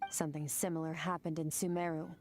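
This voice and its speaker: An actress playing a cold, disdainful warrior woman in a video game, speaking in a stern, formal, deliberate way. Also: low voice, level tone, darkish tone, faint music behind. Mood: cool, explanatory.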